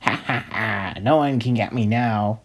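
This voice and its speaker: A man's voice: lowish voice, unhurried, pitched below the performer's own voice. A man voicing a gloating robber villain from a toy superhero script.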